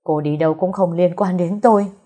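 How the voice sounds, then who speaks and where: A woman's voice: lowish voice, lively intonation, measured pace, darkish tone, slightly rough. A woman voicing a furious, wounded middle-aged mother who scolds and accuses in an audio story. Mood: furious rejection.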